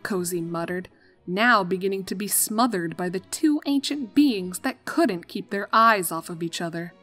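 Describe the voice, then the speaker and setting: A woman's voice: mid-range voice, normal speed, a bit bright, faint music behind. A woman narrator reading a scripted story.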